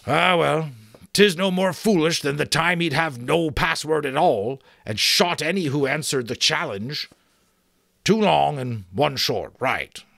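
A man's voice: on the high side, measured pace, gravelly, fairly bright tone, no room sound, pitched above the performer's own voice. A man voicing a hot-tempered, blustering giant outlaw in a storybook, with old-fashioned rustic turns of speech. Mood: resigned.

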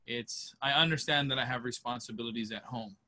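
A man's voice: mid-range voice, brisk. Male safety instructor from Hawaii, thirties, casual and conversational, webcam sound in a small room at home. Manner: relaxed, conversational, plain-spoken. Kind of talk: online training lecture. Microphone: webcam on a video call.

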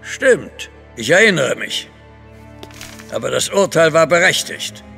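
An actor playing a formal, authoritative Russian grand duke in a German audio drama. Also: male voice, on the high side, very animated, unhurried, gravelly, bright, forceful tone, faint music behind, heavily acted. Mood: grave. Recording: long reverb of a large hall.

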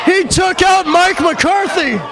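A man doing energetic color commentary at a live wrestling show, loud and losing his voice. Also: very high-pitched, takes it slowly. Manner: energetic, loud, joking asides. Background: loud crowd and room noise.

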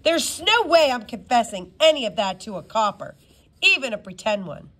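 A woman's voice: a little high, sing-song, normal speed, fairly bright tone. A woman voicing a cheeky, self-assured schoolgirl in a storybook, with dry, teasing emphasis. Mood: wry amusement.

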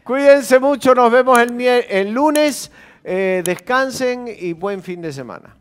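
A man's voice: extremely high pitch, lively intonation, slow, smooth, faint music behind. A man in his forties hosting a casual studio talk show, playful and joking as he steers the chat. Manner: playful, joking, wraps up segment. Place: TV studio set.

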